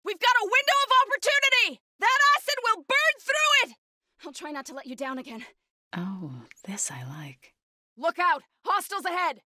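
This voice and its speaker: Intense, bright-voiced young warrior woman. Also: extremely high pitch, very animated, moderate pace, no room sound, full character voice. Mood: grim, determined, then hushed, watchful. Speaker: video game voice actor. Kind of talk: scripted game combat barks and companion lines.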